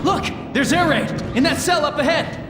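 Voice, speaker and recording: An actor playing a small, eager, youthful heroic robot in a video game. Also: male voice, very high voice, normal speed. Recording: echoey, loud music and engines.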